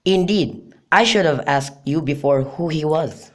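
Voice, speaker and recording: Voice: mid-range voice, unhurried, natural voice. An amateur performer playing a lovesick, miserable young nobleman in a radio drama, reading earnestly and declaiming. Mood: polite, curious.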